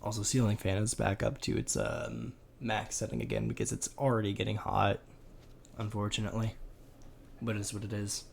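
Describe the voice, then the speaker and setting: A man with a deep voice and a gravelly edge chats casually while he sets up to record voice work at home. He rambles and jokes, and his pitch swings widely as he talks. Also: expressive, fairly quick. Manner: joking, rambling asides. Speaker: voice actor. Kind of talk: casual chat between recording takes. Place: home recording room with ceiling fan.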